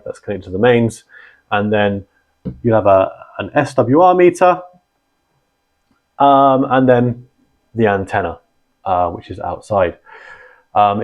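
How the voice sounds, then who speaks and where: A man with a fairly low voice presents an informal beginner's guide to camera. He explains in a conversational way with small check-in tags, and the sound is a little dull and lo-fi. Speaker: host, founder of a radio network.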